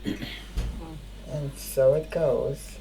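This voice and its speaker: An older woman, a native Hungarian speaker, giving spoken testimony in a halting way, often searching for words. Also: low-pitched, steady tone, gravelly, background noise. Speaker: interviewee.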